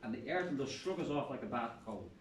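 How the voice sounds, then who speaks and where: Blunt Irish professor in an echoey room. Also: male voice, mid-range voice, lively intonation, fast, slight background noise. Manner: blunt, impassioned, salty humor. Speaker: professor and panel speaker. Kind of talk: public talk at a university event. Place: lecture room. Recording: echoey room, speaker far from mic.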